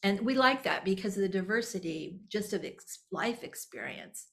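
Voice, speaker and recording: A woman's voice: medium pitch, expressive, moderate pace, creaky. A woman in her sixties, a podcast guest, talking candidly and reflectively, often restarting her sentences and laughing lightly. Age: 60s. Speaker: guest, nonprofit executive director. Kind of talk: conversational podcast interview.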